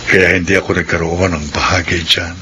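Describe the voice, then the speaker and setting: An actor playing a gentle, lovelorn male friend in a classic radio drama, soft-spoken and wistful. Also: sits low, flat delivery, a little fast, natural voice. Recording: noisy old radio drama recording.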